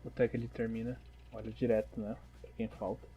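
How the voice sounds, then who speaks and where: A man's voice: lowish voice, flat delivery, fairly quick, gravelly, dark tone, lo-fi sound, slight background noise. A man with a Brazilian accent, casually narrating and hosting a tabletop role-playing podcast as game master.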